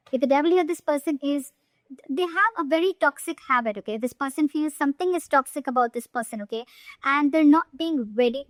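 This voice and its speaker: A woman's voice: sits high, no room sound. A woman giving an informal tarot reading straight to the viewer, speaking emphatically and often checking in with short tag questions. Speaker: tarot reader.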